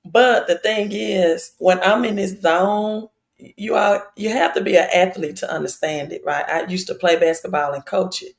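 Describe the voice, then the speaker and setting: A woman hosting a casual live stream, her voice raspy and hoarse, addressing viewers directly and repeating phrases for emphasis. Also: fairly low pitch, normal speed.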